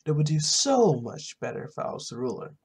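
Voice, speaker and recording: A man's voice: on the high side, big pitch swings, brisk, slightly rough, full character voice. A man voicing a smug, power-hungry female witch who taunts in a condescending way, for a game roleplay.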